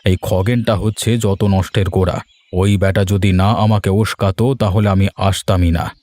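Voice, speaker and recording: Man acting a deep, bossy village headman. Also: fairly even intonation, moderate pace, darkish tone, no echo, lightly acted. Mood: stern, scolding. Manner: blunt, scolding, authoritative. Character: stern, bossy male village headman who loves food. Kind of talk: Bengali cartoon voice acting.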